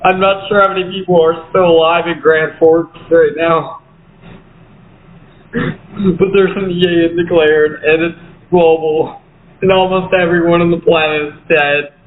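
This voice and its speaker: A man playing a desperate, grieving apocalypse survivor in a halting, pleading horror audio drama monologue. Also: fairly high pitch, fairly even intonation, close to an everyday voice. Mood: frustrated. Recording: echoey, phone-like, faint noise.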